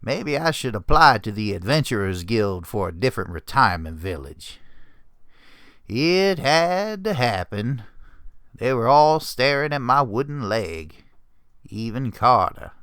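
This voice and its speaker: A grizzled old pony adventurer, as acted out by a man, narrates a storybook tale. His folksy, grumbling delivery is unhurried and swings freely up and down in pitch. The voice is full of gravel and curmudgeonly temper. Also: sing-song, fairly bright tone, no echo, clean studio sound. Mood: irritated, gruff. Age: older. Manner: folksy, curmudgeonly drawl with clipped phrases. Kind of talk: first-person storybook narration.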